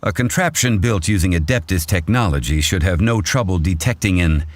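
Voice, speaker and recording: An actor playing a composed, dignified gentleman adviser in a video game, speaking in a formal, measured way. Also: deep voice, lively intonation. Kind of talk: video game dialogue acting.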